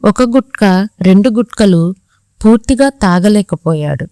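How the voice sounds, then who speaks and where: A woman's voice: mid-range voice, animated, unhurried, dark tone, no echo, clean studio sound. A woman narrating a scripted moral story for children, as a storyteller.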